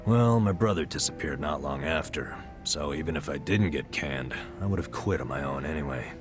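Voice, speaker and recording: A man's voice: extremely low pitch, lively intonation, normal speed. An actor playing a burned-out, middle-aged former nurse in a video game, telling his story with an American accent and a wry, self-deprecating weariness. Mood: resigned. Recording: music behind the voice.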